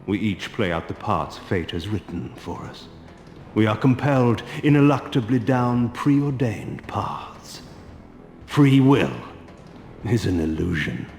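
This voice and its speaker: Grandiose vampire lord, slow and echoing. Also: male voice, lowish voice, big pitch swings, darkish tone, exaggerated voice. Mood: solemn, lofty. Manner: theatrical, grandiloquent, deliberate. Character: ancient arrogant male vampire lord, grandiose and cynical. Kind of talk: dramatic video game acting. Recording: echoey hall reverb over music.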